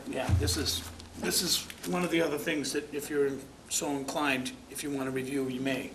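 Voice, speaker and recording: A man's voice: medium pitch, little pitch movement, moderate pace, fairly bright tone. A man chairing a town commission meeting, speaking in a folksy, rambling, plainspoken way. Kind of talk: public meeting remarks. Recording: noisy public-access meeting recording.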